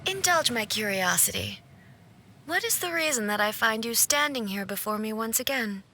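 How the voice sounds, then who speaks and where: A woman playing an aloof immortal ruler in a video game, cold, stately and formal, with haughty deliberate delivery. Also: on the high side, animated, bright, forceful tone, slight background noise. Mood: cool, probing.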